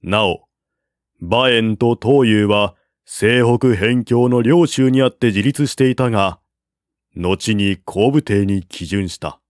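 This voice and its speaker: A man narrating a classical war novel as an audiobook, in a measured, even storytelling manner. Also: lowish voice, no room sound, clean studio sound.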